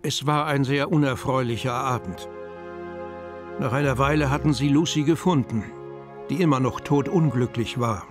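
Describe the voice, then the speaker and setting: A man's voice: mid-range voice, normal speed. Male storybook narrator over music. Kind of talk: scripted storybook narration for an audio drama.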